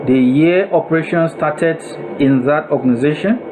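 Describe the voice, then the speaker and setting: A man with a Nigerian accent giving a deliberate, step-by-step software tutorial voice-over, phrase by phrase, as an instructor. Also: fairly high pitch. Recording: noisy room with steady air-conditioning-like hum.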